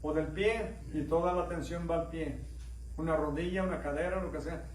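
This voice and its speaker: Mexican pastor preaching in an echoing church. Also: male voice, fairly high pitch, steady tone, moderate pace, recorded from a distance. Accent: Mexican, slightly mixed. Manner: storytelling, asks the congregation questions, acts out dialogue in raised voices. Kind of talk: conversational sermon with anecdotes. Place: church sanctuary. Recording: echoey room, voice heard from afar.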